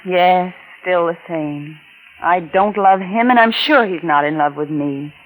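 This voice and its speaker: An actress playing a scheming, adulterous wife who is coolly manipulative and falsely tender, in a 1940s radio drama style. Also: female voice, on the low side, measured pace, a little hiss or hum, natural voice. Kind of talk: 1940s radio drama acting with confessional narration. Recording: old lo-fi radio recording with music.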